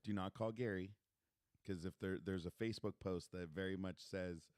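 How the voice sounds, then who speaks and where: A man co-hosting a podcast in casual chat, loud and joking. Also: sits low, normal speed, clean tone, dry recording, clean studio sound. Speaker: podcast co-host.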